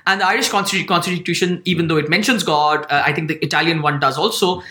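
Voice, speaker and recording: A man talking quickly in a bright, sharp voice, somewhat higher than most men. He explains at length and trips over repeated words as he thinks. The room around him rings with echo. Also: steady tone. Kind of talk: conversational discussion.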